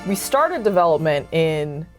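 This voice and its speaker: Woman, slightly low pitch, casual interview chat, creative project lead, expressive, frequent "like". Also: measured pace, music in the background. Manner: animated retelling with acted-out quotes, frequent "like". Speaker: creator of an audio drama.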